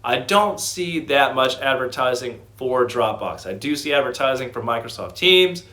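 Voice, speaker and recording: A man hosts an informal stock commentary in a mid-range voice. He speaks with clear enthusiasm and puts emphasis on his points, explaining things as he goes. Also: mid-range voice, animated, normal speed, pushed and bright. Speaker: host of a stock commentary channel.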